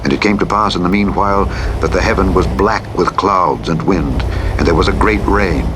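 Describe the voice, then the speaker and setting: A man with a very low voice reads scripture as a solemn narrator. His delivery is measured and declamatory. Clear truck engine noise runs behind him. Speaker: audiobook narrator. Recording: clear vehicle noise over a radio playback. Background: truck engine and driving noise from a simulator game.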